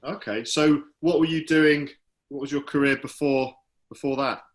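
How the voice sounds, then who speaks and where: A man hosts a business interview series in a relaxed, friendly way. He talks loosely, with many ums and half-finished phrases as he draws his guest out. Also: a little high, normal speed. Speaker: host from the company. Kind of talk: interview host, casual business chat.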